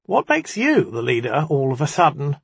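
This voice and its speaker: A one-eyed rat-spirit who challenges everything, read by a male narrator. The storybook voice is very husky and jumps about in pitch, dismissive and sceptical. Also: wildly swooping pitch, moderate pace, no echo, heavily acted. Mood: challenging.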